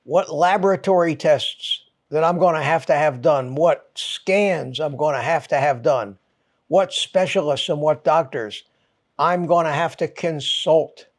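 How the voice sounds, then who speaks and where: A man's voice: on the high side, unhurried. A man hosting his own channel, ranting to viewers in short, punchy, exasperated phrases and swearing for emphasis. He has a mild head cold. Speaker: channel host.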